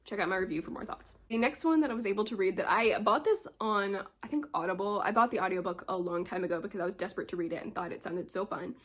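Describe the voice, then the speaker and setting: A woman's voice: medium pitch, fast talker, few pauses. Chatty woman with a slight cold. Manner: chatty, rambling, often laughs. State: slight cold, low energy. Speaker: book vlogger. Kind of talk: casual book review chat. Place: home, at a desk with mugs.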